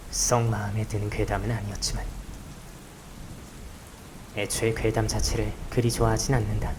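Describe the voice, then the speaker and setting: Low-voiced brooding young man in rain, acted by a man. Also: noisy background, lightly acted. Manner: brooding, subdued, halting delivery. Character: brooding young male protagonist, wary and gloomy. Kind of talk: visual novel inner monologue and dialogue. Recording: loud rain and thunder behind. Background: rain and thunderstorm.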